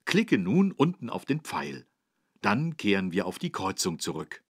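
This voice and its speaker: Children's game role: helpful policeman, husky, lively intonation, teaching tone. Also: lowish voice, unhurried, dry recording, clean studio sound, full character voice. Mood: instructive. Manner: didactic, clearly phrased for small children. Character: friendly, helpful adult male policeman teaching children road safety. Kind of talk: scripted explanation for a children's game.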